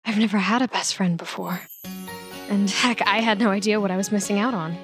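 A woman's voice: mid-range voice, fairly quick, faint music behind, natural voice. An actress playing an eager, awkward, chatty young woman in an audio drama, both confiding narration and dialogue. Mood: chirpy, confiding. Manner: chatty, hesitant, nervously upbeat. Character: eager, awkward, clingy young woman, human best friend of a vampire.

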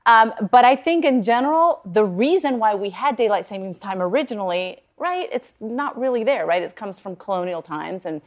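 A woman's voice: mid-range voice, expressive, moderate pace, few pauses. A woman from Puerto Rico speaking as a commentator on a television panel, giving her opinions conversationally.

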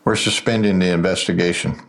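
An actor playing a vindictive, bitter investigator in an audio drama, menacing and accusatory. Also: male voice, low-pitched, steady tone, brisk. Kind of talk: full-cast audio drama acting.

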